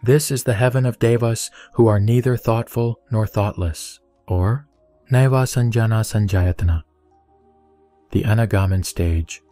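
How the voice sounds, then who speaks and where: A man giving a steady, even reading of a Buddhist scripture. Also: on the low side, measured pace, dry recording. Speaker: sutra reciter. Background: soft background music.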